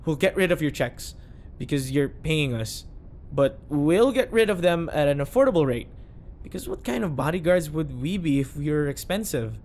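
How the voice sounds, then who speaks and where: A man's voice: fairly high pitch, animated, moderate pace, a little mellow. A man playing a flustered, obsessively devoted bodyguard in an audio roleplay, earnest and nervously backpedalling. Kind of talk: audio roleplay acting addressed to the listener.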